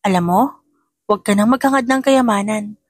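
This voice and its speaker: A woman's voice: mid-range voice, brisk, dry recording, studio quality, close to an everyday voice. A woman voicing a spiteful young female office bully in an audio drama, taunting and haughty.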